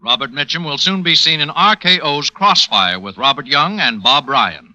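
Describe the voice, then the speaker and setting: A man's voice: on the high side, expressive, normal speed, bright, forceful tone. A man reading closing announcements in a formal, projected 1940s radio style. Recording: vintage 1940s radio broadcast.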